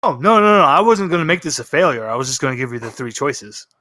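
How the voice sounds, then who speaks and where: A man's voice: a little high, animated, quick pace, bright, forceful tone, no echo. A man narrating as game master in a tabletop roleplaying game, improvising with emphatic repeats.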